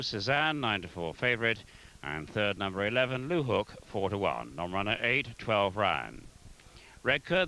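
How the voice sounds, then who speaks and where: Bright-voiced man reading racing results. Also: lowish voice, poor audio. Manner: measured, formal list reading. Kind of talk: scripted racing results read-out.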